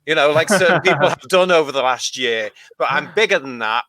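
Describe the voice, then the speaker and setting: A man's voice: a little high, lively intonation, brisk, bright, forceful tone, dry recording. A man talking bluntly and with some grumbling in a live fan podcast discussion.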